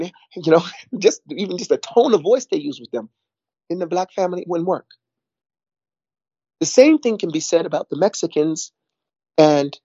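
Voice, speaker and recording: A man's voice: high voice, dark tone, breathy edge, dry recording, lo-fi sound. A middle-aged man, talking casually in a rambling way, repeating words and saying "you know" a lot. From New York. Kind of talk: casual conversation.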